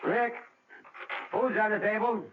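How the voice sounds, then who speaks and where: Gruff, short-tempered working dad, muffled recording. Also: male voice, high voice, steady tone, unhurried. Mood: impatient, curt. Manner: curt, blunt, impatient. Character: gruff working father, hot-tempered, burdened family man. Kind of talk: radio drama acting. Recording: muffled, noisy old radio drama recording.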